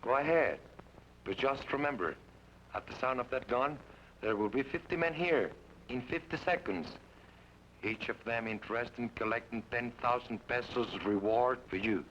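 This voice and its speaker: An actor playing a wary barber, speaking in a cool, measured way in a 1940s radio drama. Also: male voice, mid-range voice, a bit bright, natural voice. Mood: defiant. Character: wary Mexican barber, adult man, shrewd and unafraid. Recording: dull old radio recording with hiss.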